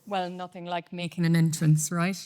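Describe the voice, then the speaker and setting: A woman from Ireland with an Irish accent giving a lively, conversational conference talk. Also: lowish voice, moderate pace, very noisy. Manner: lively, self-deprecating, conversational. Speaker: conference speaker. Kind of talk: conference presentation. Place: conference room.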